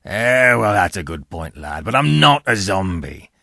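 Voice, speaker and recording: A man voicing a ragged escaped convict in an audiobook, rough, hungry and threatening, with rustic speech and dropped sounds. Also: lowish voice, big pitch swings, measured pace, pushed and bright, no echo, studio quality, pitched below the performer's own voice, full character voice. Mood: gruff, indignant. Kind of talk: dramatised audiobook character voice.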